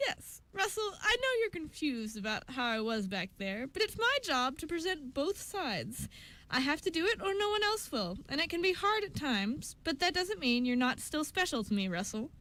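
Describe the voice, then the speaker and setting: Frank, affectionate, teasing council woman acted by a woman, high voice, fantasy radio drama. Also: sing-song, moderate pace, a bit bright. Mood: earnest, tender. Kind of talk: amateur radio drama reading.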